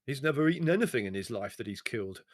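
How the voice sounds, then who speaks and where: Gruff, belligerent young man, loud and impatient, voiced by a male narrator. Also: mid-range voice, expressive, quick pace, no room sound, clean studio sound, natural voice.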